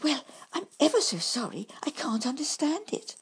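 A woman voicing a gentle, worried male miniature badger in a storybook reading. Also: high voice, big pitch swings, moderate pace, voice pushed up. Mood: apologetic. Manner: kindly, worried, soft-spoken. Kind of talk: storybook character voice.

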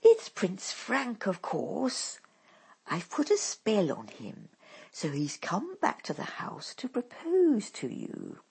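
This is a scheming stepmother witch from a storybook, bossy and scornful, snapping orders at her daughters, as a woman puts her on. The voice swoops wildly up and down and comes out very husky. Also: medium pitch, huge pitch swings, takes it slowly, a little mellow, voice pushed up, full character voice. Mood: impatient.

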